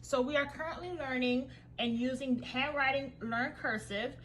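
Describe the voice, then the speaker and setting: A woman's voice: sits high, fairly even intonation, moderate pace, fairly bright tone, slight background noise. A young woman from Haiti whose first language is French, a homeschooling mother giving an upbeat, friendly review of her curriculum in a casual vlog.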